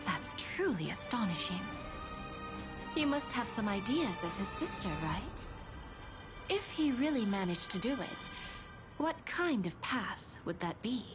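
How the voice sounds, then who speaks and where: A woman's voice: medium pitch, sing-song, a little slow. An actress playing a poised, shrewdly inquisitive woman in dramatic game dialogue. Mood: intrigued. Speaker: game character voice actor. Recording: loud music over voice.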